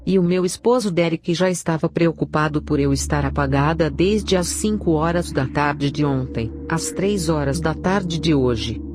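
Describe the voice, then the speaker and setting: A woman giving a scripted narration of a religious testimony in a solemn, measured way. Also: deep voice, background noise. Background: background music.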